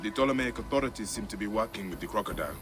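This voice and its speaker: An actor playing a grave, protective Egyptian warrior in a video game, solemn and determined, bent on revenge. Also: male voice, fairly low pitch, animated, very fast, close to an everyday voice. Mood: concerned, probing. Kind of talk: dramatic video game acting. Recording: music under the voice.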